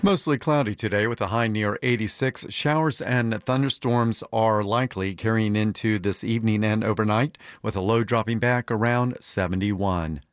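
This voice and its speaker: A man's voice: on the low side, moderate pace, hardly any pauses, smooth, faint music behind. A man reading a scripted local weather forecast and station identification as a radio announcer.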